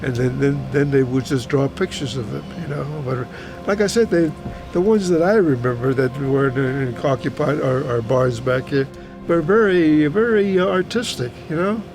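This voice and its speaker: Elderly Hungarian-born man, higher-pitched, reminiscing. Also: animated, low-quality recording. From Hungary, German-speaking household. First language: German. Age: 80s. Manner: halting, repetitive, plain-spoken. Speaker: retired teacher recounting his life. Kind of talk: personal oral history interview, reminiscing. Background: music under the voice.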